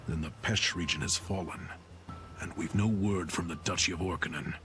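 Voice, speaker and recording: A man playing a grim, resolute mercenary lieutenant in a video game, stern, grave and measured. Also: low voice, steady tone, music in the background. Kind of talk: dramatic video game acting.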